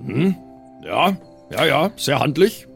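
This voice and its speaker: A man's voice: fairly high pitch, sing-song, takes it slowly, creaky, fairly bright tone, heavily acted. A man playing a dry, laconic and organised son in an improvised fantasy audio game, over a music bed.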